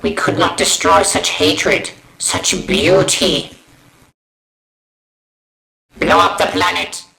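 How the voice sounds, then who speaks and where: A man voicing a menacing alien war machine in an amateur parody, with a gravelly, flat, halting delivery. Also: high-pitched, heavily acted. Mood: stern, commanding. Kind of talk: amateur spoof voice acting. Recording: echoey, roomy sound.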